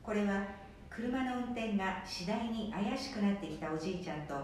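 A woman hosting an amateur radio show, reading a scripted introduction. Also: darkish tone. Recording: echoey room, distant mic, faint rumble.

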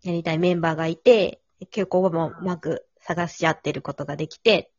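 A woman's voice: on the low side, steady tone, fairly quick, darkish tone, no echo, highs cut off. A woman hosting a casual three-person chat in polite, conversational phrasing. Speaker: host.

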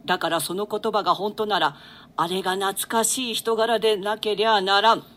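Elderly royal grandmother played by a woman: forceful, bright tone, archaic theatrical diction, dignified and world-weary. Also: medium pitch, fairly even intonation, moderate pace, close to an everyday voice. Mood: doting, curious. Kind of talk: classical stage play reading.

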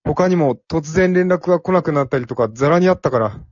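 A man's voice: fairly high pitch, brisk, a little mellow, no echo, dull audio, natural voice. A man playing a timid, earnest young office worker in an amateur radio drama, with plain boyish phrasing. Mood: hesitant.

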